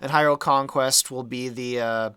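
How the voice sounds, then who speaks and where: Bright, clear-voiced man with a sore throat. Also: medium pitch, fairly even intonation, normal speed, no room sound. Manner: chatty, rambling, easygoing. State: sore throat, slightly dry voice. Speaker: game modder and channel host. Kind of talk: casual development update talk.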